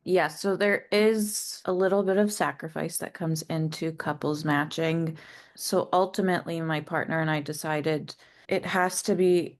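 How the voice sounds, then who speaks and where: A young woman resident speaking earnestly on a webinar panel. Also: low-pitched.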